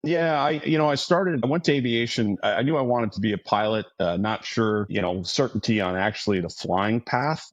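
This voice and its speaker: A man's voice: lowish voice, fairly quick, few pauses, dry recording, dull audio. A middle-aged man from outside Boston, an aviation insurance guest, chatting casually and affably with many restarts and fillers. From Massachusetts, outside Boston. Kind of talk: casual interview chat.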